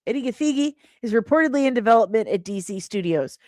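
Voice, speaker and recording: A woman's voice: on the high side, lively intonation, quick pace, darkish tone, no room sound, studio quality. A woman hosting a casual entertainment livestream, chatting playfully and teasingly, often repeating phrases for emphasis. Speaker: livestream host. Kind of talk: casual livestream chat about entertainment news.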